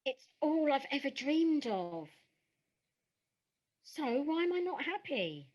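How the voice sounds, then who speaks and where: An anxious young-sounding actress character, voiced by a woman. Her pitch is high. She speaks earnestly and with self-doubt, in the natural manner of an audio play. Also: sing-song, slow pace, darkish tone, dull audio, exaggerated voice. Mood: anxious, downcast. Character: anxious, earnest stage actress who uses a wheelchair.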